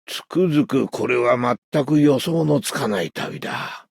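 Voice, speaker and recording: A man voicing a steady, fatherly, gruff seasoned warrior in a video game. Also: medium pitch, brisk, no echo, clean studio sound. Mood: fond, reflective. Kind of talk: Japanese game character voice lines.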